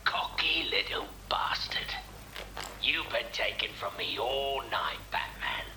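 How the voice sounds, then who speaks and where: An actor voicing a menacing, vengeful crime boss in a video game, with a hissing gravelly voice. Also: male voice, mid-range voice, expressive, takes it slowly, in a whisper. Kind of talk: video game cutscene acting. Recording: roomy echo.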